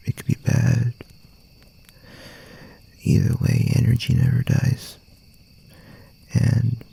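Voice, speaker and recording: A man playing a gentle, teasing young boyfriend in an intimate ASMR roleplay, murmuring softly and reassuringly in a breathy, gravelly voice. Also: exaggerated voice. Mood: calm, explanatory. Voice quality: soft, breathy, husky, gravelly low murmur. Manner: unhurried, rambling, reassuring, with pauses.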